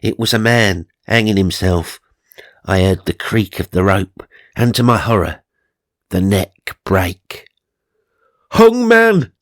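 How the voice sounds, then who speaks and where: A man's voice: low-pitched, a little slow, no echo, studio quality. A man narrating supernatural magazine stories for comedy, mock-serious and dramatic, with wry asides. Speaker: narrator and host.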